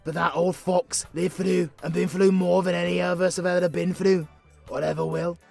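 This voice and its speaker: A British man playing a gruff but kindly old pub landlord, chatty, blunt and sweary, in a casual audio roleplay. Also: high voice, level tone, normal speed, darkish tone, faint music behind. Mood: easygoing, reassuring.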